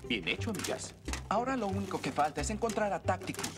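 A woman playing a scheming young leader of a rescue band in a children's cartoon, hurried and conspiratorial. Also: mid-range voice, very animated, close to an everyday voice. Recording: music behind the voice.

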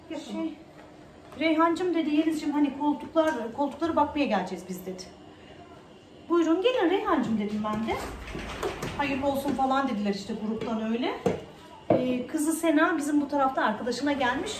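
A woman's voice: sits high, brisk. A middle-aged woman chatting casually and teasingly for a home vlog. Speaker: vlog host. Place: indoors at home, kitchen and living room. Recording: roomy echo, distant mic, faint noise.

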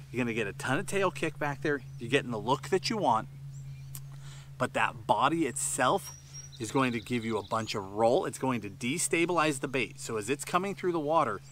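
A man in his thirties giving a fishing tutorial on a boat outdoors, explaining with lively gestures while sweating in the heat. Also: medium pitch, slight background noise. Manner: explanatory, animated hand gestures. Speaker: fishing instructor. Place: seated on a bass boat outdoors by a tree-lined shore.

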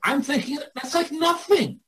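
A man's voice: extremely high pitch, expressive, normal speed, bright, forceful tone. An older man giving informal one-on-one coaching in a blunt, emphatic way, thinking aloud as he goes. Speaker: artists' coach and mentor.

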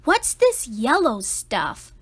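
A woman voicing an anxious, dramatic five-year-old boy in an expressive picture-book read-aloud, with emphatic, theatrical delivery. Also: fairly high pitch, slow pace, breathy edge, heavily acted. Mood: surprised, relieved.

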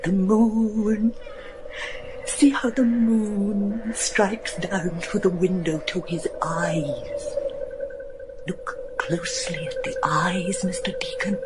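Woman as a slow, haunted gothic daughter. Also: mid-range voice, steady tone, heavy background noise, lightly acted. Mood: eerie, ominous. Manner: breathy, theatrical, hushed and ominous. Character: fearful, haunted, obedient daughter of a gothic manor. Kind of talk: gothic horror radio drama acting.

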